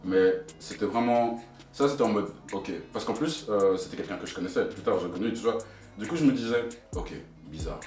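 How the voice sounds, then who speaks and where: Fast-talking young man, lively storyteller. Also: lowish voice. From Brussels. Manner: animated, laughing, slangy. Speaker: panel guest. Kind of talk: casual storytelling. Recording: echoey room, distant mic, soft music.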